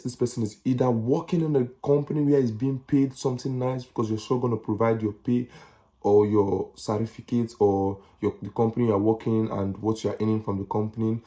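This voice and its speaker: A young Nigerian man talks in a relaxed, rambling vlog style with a Nigerian accent. His voice sits lowish and sounds warm and mellow. He circles back over his points and drops in slangy asides. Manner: chatty, repeats himself, slangy asides. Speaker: vlogger. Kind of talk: casual advice vlog.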